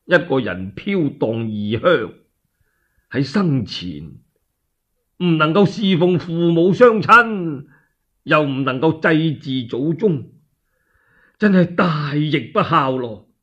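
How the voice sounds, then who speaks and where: Sorrowful middle-aged warlord acted by a man, radio drama, sighing, plaintive, drawn-out pace, rough-edged voice. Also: a little high, highs cut off, heavily acted. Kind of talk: one-man Cantonese radio storytelling drama.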